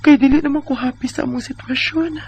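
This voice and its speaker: A woman's voice: high-pitched, steady tone, fast, darkish tone, dull audio, a little hiss or hum. A woman in her thirties with a Bisaya Filipino accent, playing a weary, discontented wife in a plaintive, sighing way for a radio drama. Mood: weary, troubled. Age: 30s. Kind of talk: Bisaya radio drama acting and letter narration.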